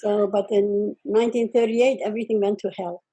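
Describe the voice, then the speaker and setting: A woman's voice: mid-range voice, little pitch movement, a little fast, warm tone, husky, poor audio. An elderly woman from Czechoslovakia, speaking plainly as an interview guest and often ending sentences with "okay?". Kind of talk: conversational testimony.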